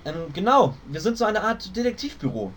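A man acts out a precocious, bossy boy detective in a parody radio play. His highish voice jumps around expressively, formal and self-important yet easily exasperated, over faint background noise. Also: very animated, a little fast, a bit bright. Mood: polite, formal. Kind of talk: tongue-in-cheek German fan audio drama.

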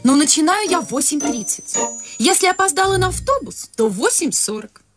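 A woman's voice: high voice, big pitch swings, a little fast, fairly bright tone, faint music behind. A young woman actor playing a naive, nervously polite secretary in a radio comedy, rambling on in a prim, earnest way. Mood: earnest, chatty. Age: young adult. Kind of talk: radio play comedy acting.

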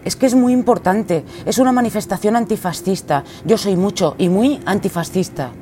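A woman acting in a radio drama as a scatterbrained, excitable young activist, with a Spanish accent from Spain and slangy, chatty delivery. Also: medium pitch, normal speed, slight background noise, lightly acted. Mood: flustered.

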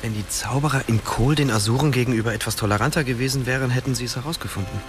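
An actor as a clever young hero over music. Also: male voice, mid-range voice, very fast, lightly acted. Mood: smug, excited. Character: clever, self-assured young man, secretive hero. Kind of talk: fantasy radio drama acting. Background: clear music.

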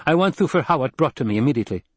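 A man doing an imperious noblewoman, raised pitch. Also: expressive, fairly quick, husky, dry recording. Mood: stern, commanding. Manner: clipped, authoritative. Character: commanding, icy noblewoman, curt and imperious. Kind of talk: audiobook character acting.

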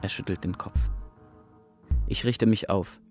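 An actor playing an exhausted camp prisoner in a radio drama, speaking a terse, stark and urgent first-person monologue. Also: male voice, low-pitched, expressive, a little slow, darkish tone. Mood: weary, urgent. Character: exhausted male camp prisoner awaiting liberation. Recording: faint music behind the voice.

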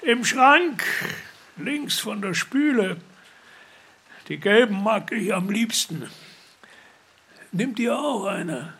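An actor playing a frail, sly old man in a radio play, gravelly and raspy, slow and bossy. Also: high voice, lively intonation, bright, forceful tone, full character voice. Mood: calm, knowing. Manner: slow, deliberate, commanding.

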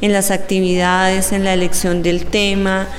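Slow, even-toned Colombian woman. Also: medium pitch, big-room sound, slight background noise. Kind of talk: unscripted interview answer.

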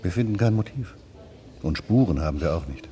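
Low, mellow-voiced homicide detective. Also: male voice, animated, a little fast. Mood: sombre. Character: matter-of-fact male homicide detective, old schoolfriend, about forty. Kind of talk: radio drama dialogue.

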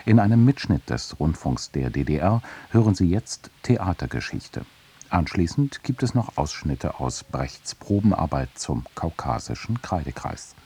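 A man with a husky voice presenting a scripted radio introduction. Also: low-pitched, sing-song, unhurried, mellow.

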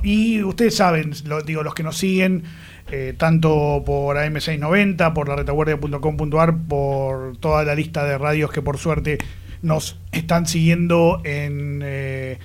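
Guy hosting a radio show, joking around with his guest, voice a bit on the high side. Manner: chatty, informal, joking. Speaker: radio host. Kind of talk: radio interview. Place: radio studio.

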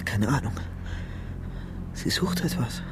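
A man's voice: medium pitch, animated, slow pace, whispered. An actor playing a young fugitive who narrates his grim story soberly and tensely in short sentences for a radio crime drama. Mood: tense, hushed.

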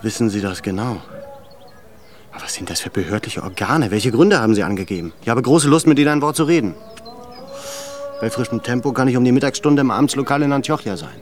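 A man's voice: medium pitch, lively intonation, quick pace, natural voice. An actor playing a thoughtful, controlled middle-aged Armenian father and reserve officer in a radio drama. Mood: concerned, resolute. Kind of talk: naturalistic German radio drama acting.

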